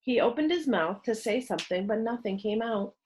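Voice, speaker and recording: A woman reading a children's story aloud as narrator, expressive and with dramatic pauses. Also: on the high side, slight background noise. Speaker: storybook reader.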